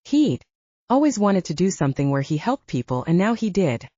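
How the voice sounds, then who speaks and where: Husky woman narrating fiction, lively pitch. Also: moderate pace, dry recording, muffled recording. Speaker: audiobook narrator.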